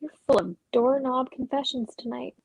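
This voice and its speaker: A woman's voice: high-pitched, moderate pace, vocal fry, husky, full character voice, low-quality recording. A woman playing a practical, skeptical but sympathetic young woman, understated and conversational in a play reading. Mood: gentle, sympathetic. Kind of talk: dramatic script reading.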